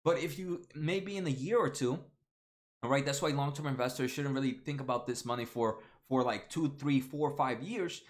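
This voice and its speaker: A man's voice: mid-range voice, normal speed. A man in his late twenties hosting a casual stock market livestream, talking to viewers by name with lots of fillers and restarts. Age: 20s. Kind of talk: casual livestream chat reading viewer comments.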